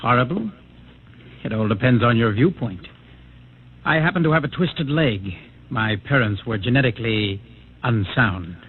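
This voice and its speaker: An articulate male outcast with a wry, gentle temper, played by an actor. He speaks theatrically, as in a mid-century radio play. The recording is thin and tinny with faint noise. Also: mid-range voice, lively intonation, normal speed, pauses often, lightly acted. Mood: wry, melancholy.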